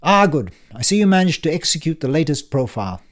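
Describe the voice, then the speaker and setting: A brash, sardonic older uncle barks his lines in a cutting, impatient manner, put on by a man reading an audiobook. The voice sits higher and louder than the reader's own, gruff and bossy throughout. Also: very animated, fast talker. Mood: brusque. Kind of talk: audiobook character voice.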